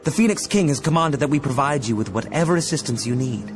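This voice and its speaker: An actor playing a refined, loyal high elf envoy in a fantasy game cutscene, speaking formally and courteously. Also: male voice, lively intonation, quick pace, natural voice. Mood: courteous, assured. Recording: loud music behind the voice.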